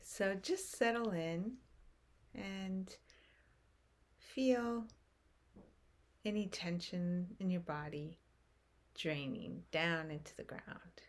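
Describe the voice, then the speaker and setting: Woman doing guided meditation, gentle, kinda low voice, super slow w lots of pauses. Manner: gentle, unhurried, with long pauses between short phrases.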